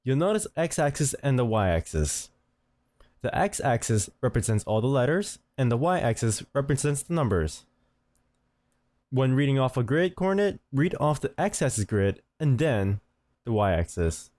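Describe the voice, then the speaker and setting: Man narrating a tutorial, lively pitch, dry. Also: unhurried, no room sound. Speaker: tutorial host. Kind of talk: scripted instructional narration.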